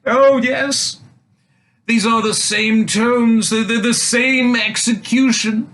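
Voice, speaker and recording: A man voicing a sentimental, wistful old knight in a fairy tale, with slow, drawn-out, halting speech. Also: extremely high pitch, fairly even intonation, a bit bright, voice pushed up, heavily acted. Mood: wistful nostalgia.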